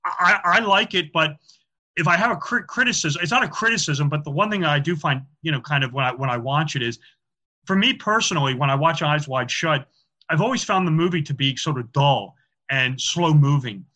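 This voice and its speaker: A man's voice: fairly high pitch, lively intonation, a little fast, pushed and bright. A man talking as a podcast guest about film symbolism, chatty and explanatory, with frequent fillers and repeated words. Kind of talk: casual interview talk.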